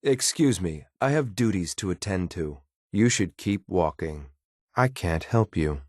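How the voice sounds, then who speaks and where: A cold, dutiful male synth agent from a video game in a low-pitched voice. The delivery is clipped, formal and deadpan, measured throughout. The recording is very dry and close. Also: dry recording. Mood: cool, formal. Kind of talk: scripted video game companion lines.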